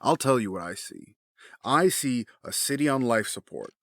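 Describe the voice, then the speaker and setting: A man acts out a brooding, embittered mourner in an audio drama. His voice is sharp and swings in pitch, with grave, rhetorical intensity. The recording is close and dry. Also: expressive, normal speed, dry recording. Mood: grim, intense. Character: grieving, bitter, brooding wealthy man with hardening resolve.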